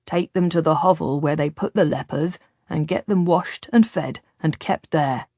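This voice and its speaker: A woman's voice: low-pitched, normal speed, warm tone, no room sound, clean studio sound. A woman voicing a stern, curt male fort captain who gives blunt orders, in an audiobook story. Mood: commanding. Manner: commanding, blunt.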